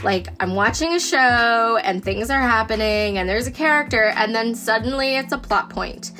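A woman's voice: a little high, animated, moderate pace, pushed and bright, soft background music. A woman interviewee talking casually and animatedly, often quoting her own reactions. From born in Korea, adopted in the US.

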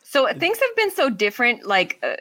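A woman's voice: on the high side, huge pitch swings, normal speed, sharp tone, dry recording. A woman hosting an interview in a casual, conversational style. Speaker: interview host.

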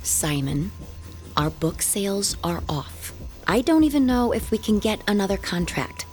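An actress playing a young, practical wife who coaxes in a polished, persuasive way, in a horror radio drama. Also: female voice, mid-range voice, sing-song, a little slow, darkish tone, faint music behind. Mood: coaxing, concerned. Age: young adult. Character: young, practical, coaxing wife of a ghost hunter.

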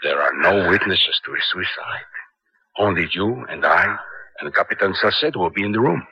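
An actor playing a scheming, cold-blooded colonel, raspy and commanding, in a 1970s radio drama. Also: male voice, low-pitched, animated, normal speed, no echo, heavily acted. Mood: smooth scheming. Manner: calculating, commanding. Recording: dull vintage radio recording.

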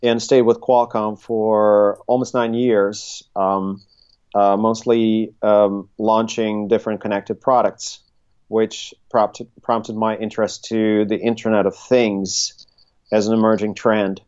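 A middle-aged man, speaking conversationally as an interview guest and haltingly thinking his way through his answers. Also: on the low side, flat delivery, darkish tone, clear, resonant tone, lo-fi sound. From Russia, Soviet Union. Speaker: interview guest, tech consultancy CEO. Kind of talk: conversational interview, recounting career.

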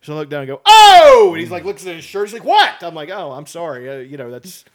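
A man's voice: high voice, fast, creaky. A man telling joking stories in casual podcast chat. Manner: joking storyteller, lively.

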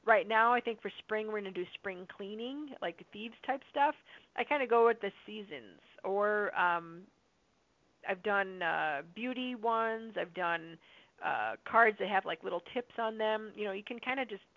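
Hoarse woman on a tinny phone line. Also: medium pitch, unhurried, dry recording. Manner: chatty, upbeat, many fillers and asides. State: slightly hoarse from long talking. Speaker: host answering listener questions on a call. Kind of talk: casual question-and-answer call. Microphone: phone or conference line.

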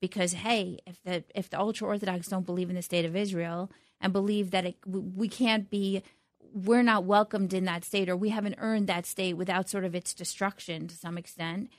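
A middle-aged woman speaking as an interview guest, reflective and halting, often restarting her sentences. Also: mid-range voice, fairly even intonation, dry recording, clean studio sound. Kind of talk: conversational interview.

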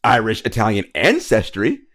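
A young man hosting a relaxed podcast, his pitch bouncing all over as he talks. He laughs easily and hypes up his segments. He sounds upbeat and loose. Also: normal speed. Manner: animated, laughs often, hype delivery. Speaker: host. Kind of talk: casual podcast chat.

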